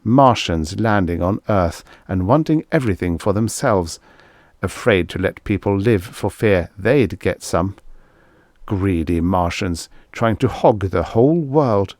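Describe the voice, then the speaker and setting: A man's voice: low voice, lively intonation, measured pace, a little mellow, dry recording. A man reading a scripted story as an audiobook narrator.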